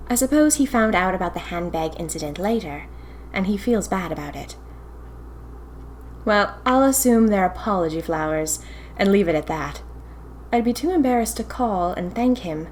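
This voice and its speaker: A young woman playing a spirited, romantic single woman of the 1920s, narrating her diary in an expressive, wistful, theatrical way. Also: medium pitch, close to an everyday voice. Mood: musing, giddy. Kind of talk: dramatic first-person diary narration.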